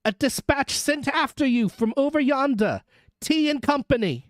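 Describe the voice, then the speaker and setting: A reader voicing a rough, hoarse messenger man on horseback who calls out curtly, in a storybook. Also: mid-range voice, sing-song, drawn-out words, a bit bright, dry recording, studio quality, voice pushed up, exaggerated voice.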